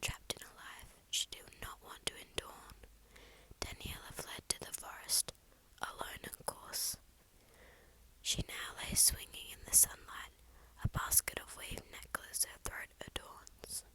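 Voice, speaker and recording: A woman with a cold, whispering softly and haltingly into a close mic for an ASMR poem reading. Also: rough recording. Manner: soft whisper, halting with fillers. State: congested with a cold, snuffly. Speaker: ASMR creator.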